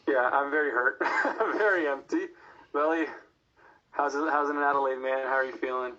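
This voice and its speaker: A man's voice: mid-range voice, moderate pace, pushed and bright. Downcast man hosting, lo-fi sound. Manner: dejected, drained. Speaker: sports podcast host. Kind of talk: casual post-game talk.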